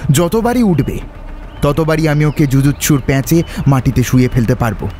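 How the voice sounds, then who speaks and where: A man's voice: fairly high pitch, expressive, normal speed, a little mellow, a little hiss or hum, natural voice. Resolute young male adventurer acted by a man. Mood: urgent, resolute. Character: brave, level-headed young male adventurer, resolute and reassuring. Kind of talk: Bengali audio story acting.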